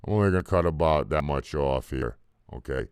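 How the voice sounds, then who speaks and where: A man with a very low, deep voice walks through a music mixing lesson in a loose, rambling way, full of fillers and offhand swearing. His voice sits dry and close, heard through a desktop mic. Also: lively intonation, a little fast, darkish tone, clean tone, no room sound. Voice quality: deep voice, muffled by a faulty mic input. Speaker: music producer teaching mixing. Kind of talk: casual screen-recorded tutorial. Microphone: desktop mic into recording software.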